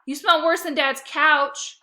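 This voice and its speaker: A bossy young girl from a storybook, acted out by a woman, insistent and competitive. Her bright, forceful voice jumps up in pitch into whiny squawks. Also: fairly even intonation, slow, exaggerated voice. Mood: whiny, indignant.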